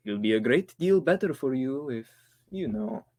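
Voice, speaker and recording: A man's voice: mid-range voice, expressive, a little mellow. A man playing a formally spoken, menacing doctor in a 1940s-style radio thriller. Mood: polite menace. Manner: formal, deliberate phrasing. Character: menacing polite doctor villain, really a panicking radio actor.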